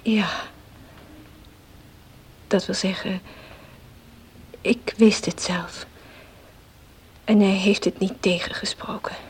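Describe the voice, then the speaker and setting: An actress playing a gentle, devout, ailing wife in a period radio drama, speaking softly and solemnly with a frail, breathy voice. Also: female voice, mid-range voice, fairly even intonation, in a whisper, lightly acted. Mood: weak, quiet. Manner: slow, soft, tender, solemn.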